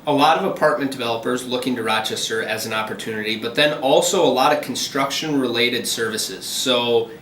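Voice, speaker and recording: Bright-voiced male realtor, distant and echoey. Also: mid-range voice, fairly quick, few pauses, slight background noise. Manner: steady, businesslike explaining with frequent ums. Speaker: commercial realtor. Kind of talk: phone interview.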